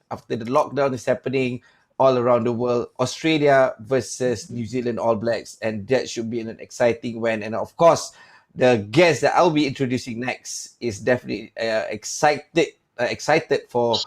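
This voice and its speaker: A man hosting an online panel show, chatty and joking, often calling his guests mate. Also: medium pitch. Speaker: host.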